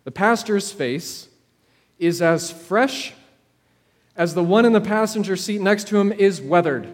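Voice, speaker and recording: Man, high-pitched voice, preacher, measured storytelling sermon, dramatic pauses, long reverberant hall.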